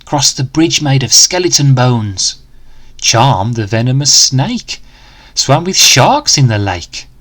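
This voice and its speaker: A man telling a children's story in rhyme. He takes it slowly and deliberately, with very animated swings in pitch and a dramatic, expressive delivery. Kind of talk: rhyming picture-book read-aloud.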